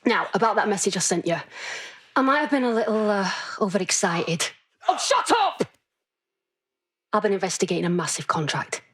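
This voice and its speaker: An actress playing a brash, confident underground vigilante broker in a video game, talking in casual slang with a husky voice. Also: female voice, medium pitch, sing-song, moderate pace, background noise, natural voice. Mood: cocky, confiding. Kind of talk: conversational video game cutscene acting.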